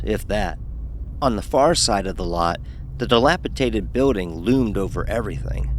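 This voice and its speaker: A man's voice: on the low side, slight background noise, close to an everyday voice, low-quality recording. A man playing a cocky, sarcastic young fraternity pledge who narrates his own horror story. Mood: tense. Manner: sarcastic, dismissive. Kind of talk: first-person horror story narration with acted dialogue.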